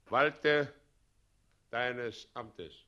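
An actor playing a pompous, husky-voiced tyrant president and gloating bridegroom in a radio play, who both wheedles and commands. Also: male voice, mid-range voice, extremely slow, sharp tone, lo-fi sound. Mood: commanding. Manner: pompous, gloating, wheedling, then barking orders.

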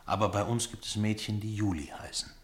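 A man acting a mysterious, homesick foreign soldier in a radio play, speaking solemnly and poetically in a measured delivery. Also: lowish voice, fairly even intonation, lightly acted. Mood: earnest, pleading.

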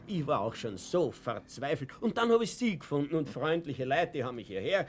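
A man's voice: fairly high pitch, big pitch swings, a little fast, slight background noise. An actor playing a humble, trusting old Viennese man in a radio play, hesitant, polite and prone to chuckling. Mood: pleading, hopeful. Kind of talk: German radio play acting.

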